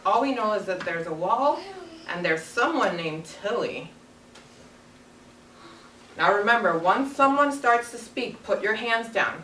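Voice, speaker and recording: A woman's voice: medium pitch, fairly bright tone. A woman teacher leading a read-aloud lesson for young children, speaking in simple, instructive phrases. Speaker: elementary school teacher. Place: carpeted classroom full of children. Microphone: far camera across the room. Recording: roomy, distant classroom camera with background noise.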